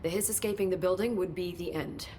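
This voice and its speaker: An actress playing an earnest, knowledgeable government researcher in a video game, explaining in a measured, understated way. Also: female voice, fairly low pitch, dark tone, a little hiss or hum, close to an everyday voice. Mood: explanatory. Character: earnest, knowledgeable female government agency researcher, briefing her director. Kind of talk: video game cutscene acting.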